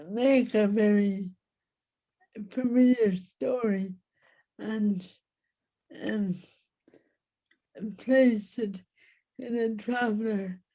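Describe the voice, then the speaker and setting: An Irish woman speaks very slowly in a dark, mellow voice. She is reflective as an interview guest, placing her words in short, halting phrases. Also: a little high, poor audio.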